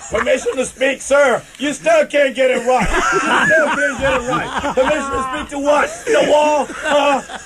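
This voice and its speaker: A man's voice: very high voice, fairly even intonation, moderate pace, very noisy. A man working as a Marine drill instructor, berating a recruit with sarcastic commands and repeated, badgering questions. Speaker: Marine Corps drill instructor, studio guest. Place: radio studio.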